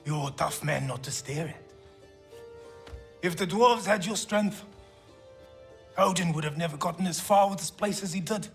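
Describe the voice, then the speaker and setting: A man's voice: on the high side, normal speed, gravelly, long pauses, a bit bright, soft background music. A man playing a hard-drinking, sardonic dwarf resistance leader in a video game, wry and wordy. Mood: wry, defiant. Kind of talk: video game cutscene acting.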